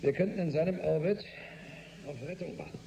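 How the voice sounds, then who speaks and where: An actor playing a formal leader chairing a spaceship crisis meeting in a German science-fiction radio drama, deliberate and official in his summing-up. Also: fairly high pitch, creaky, warm tone, poor audio. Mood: grave, businesslike. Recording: humming noise behind the voice.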